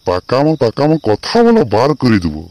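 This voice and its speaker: A man plays a cartoon village father who bosses and scolds his hapless sons. The voice sits at a middle male pitch, with faint noise and insect chirps behind it. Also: wildly swooping pitch, a little fast, dark tone. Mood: angry, scolding. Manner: bossy, scolding. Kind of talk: comic cartoon dialogue in Bengali.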